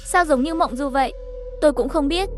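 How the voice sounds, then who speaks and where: A woman's voice: high voice, brisk, soft background music. A woman reading a scripted story, voicing the narration and all the dialogue herself. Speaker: narrator of an animated horror story recap.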